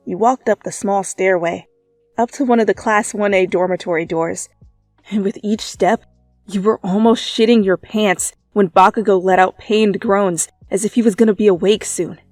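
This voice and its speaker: A woman's voice: medium pitch, fairly even intonation, moderate pace, darkish tone, dry recording. Woman doing a dramatic fanfic reading, playful, dry mic w some music behind. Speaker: fan fiction reader. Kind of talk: dramatic storytelling narration. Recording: background music under voice.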